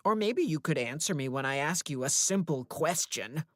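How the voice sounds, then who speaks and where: A woman's voice: low-pitched, expressive, moderate pace, no echo, clean studio sound. A woman voicing a possessive, menacing male creature that hides in a closet, who speaks in a coaxing, controlling way that turns into threats.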